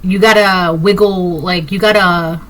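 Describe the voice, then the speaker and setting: A woman's voice: fairly low pitch, fairly even intonation, measured pace, a bit bright. A Spanish-speaking woman from Puerto Rico giving a casual tarot reading, rambling with lots of fillers and restarts. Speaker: tarot reader. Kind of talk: casual intuitive reading to camera.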